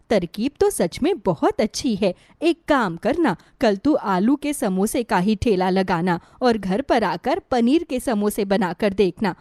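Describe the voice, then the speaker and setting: A woman's voice: mid-range voice, darkish tone, no room sound, studio quality, lightly acted. A woman voicing a kindly, practical village mother-in-law who approves and encourages in storybook dialogue.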